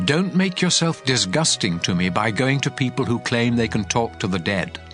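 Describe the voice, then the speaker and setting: A man's voice: mid-range voice, a bit bright. A man playing God as a stern lawgiver, solemn and commanding in a dramatized scripture reading. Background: music.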